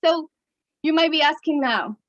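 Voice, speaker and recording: A woman with a Mexican accent and a high voice leads a storytelling webinar as a coach. She talks expressively, chuckling between points and shifting her pace. The audio is slightly dull and lo-fi, like a video call. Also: normal speed, fairly bright tone. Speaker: keynote speaker and coach.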